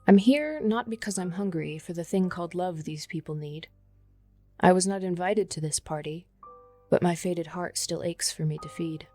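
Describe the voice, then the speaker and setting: A hungry, patient vampire voiced by a woman speaks in a slightly low register. The poem is delivered with solemn, measured melancholy while music plays behind it. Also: darkish tone, natural voice. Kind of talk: dramatic poetry reading over music.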